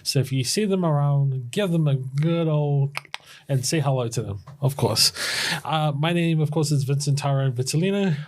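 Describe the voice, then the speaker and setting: A man's voice: on the high side, moderate pace, a little mellow. A man hosting a community podcast in an upbeat, enthusiastic, playful way. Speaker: podcast host.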